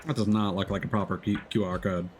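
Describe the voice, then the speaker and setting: A man live streaming in casual chat, talking in a chatty, hesitant way with trailing pauses. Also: sits low, fairly even intonation. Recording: music playing behind the voice. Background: music.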